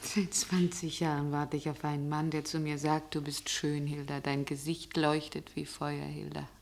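An actress playing an ageing, melancholy waitress in a poetic radio play, wistful and sceptical in manner. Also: female voice, deep voice, level tone, normal speed, vocal fry, slight background noise.

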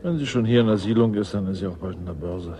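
An actor playing a world-weary, guarded scientist in a 1980s German radio drama, gruff and dryly ironic. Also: male voice, fairly low pitch, fast, background noise, close to an everyday voice.

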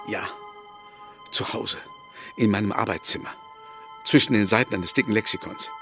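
A man playing an older writer accused of murder in a German radio play, defensive and halting. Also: lowish voice, wildly swooping pitch, pushed and bright, soft background music, full character voice. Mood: halting, confessing. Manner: halting, pleading.

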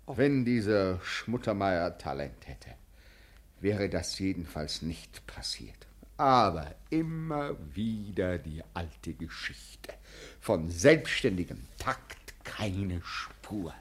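Stern, deep, swooping police president. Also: male voice, sing-song, slow, exaggerated voice. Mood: exasperated, sarcastic. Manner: formal, clipped official phrasing, dryly sarcastic. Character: stern, officious male police president, sarcastic superior. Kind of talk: German radio play acting.

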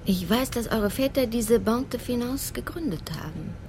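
An actress playing a commissioner's older wife, affectionate and inquisitive, in a radio crime drama, speaking in a familiar way. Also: female voice, fairly high pitch, lively intonation, normal speed, a little mellow, slight background noise, close to an everyday voice. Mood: fond, curious. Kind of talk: German radio play acting, intimate dialogue.

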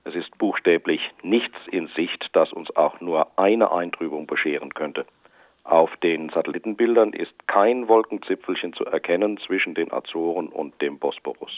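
A very deep-voiced, unruffled weather official played by a male actor in a radio drama. He has a dry wit. The sound is muffled and narrow, in a dry space. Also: moderate pace, no room sound, lightly acted. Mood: calm, assured. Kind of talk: German radio drama acting.

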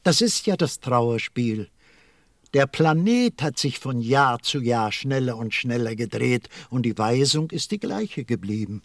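An actor playing a weary, dutiful lamplighter in a German audio drama, with a resigned, grumbling, literal-minded manner. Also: male voice, medium pitch, lively intonation, unhurried, no room sound, studio quality. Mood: weary complaint.

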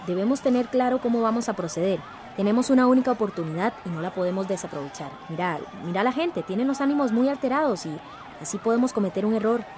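An actress playing a level-headed community organizer in a radio drama, directive and reassuring. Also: female voice, fairly high pitch, quick pace, background noise, lightly acted. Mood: serious, urging.

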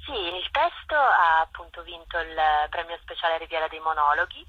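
A woman's voice: on the low side, expressive, moderate pace, sharp tone, breathy edge, no room sound, highs cut off. A woman theatre director answering interview questions thoughtfully, often restarting her sentences.

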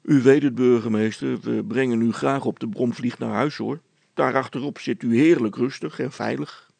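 A down-to-earth handyman from a storybook, voiced by a male reader, with a very creaky, husky voice. He is polite, practical and plainly reassuring. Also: mid-range voice, fairly even intonation, moderate pace, darkish tone, dry recording. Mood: matter-of-fact, reassuring. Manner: matter-of-fact, reassuring. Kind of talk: children's storybook character acting.

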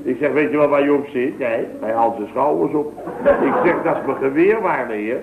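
A man's voice: medium pitch, moderate pace. A man telling a rambling, mock-pompous hunting anecdote with a dry delivery in a scripted radio comedy sketch. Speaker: comic storyteller. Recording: tinny hissy old radio broadcast, roomy.

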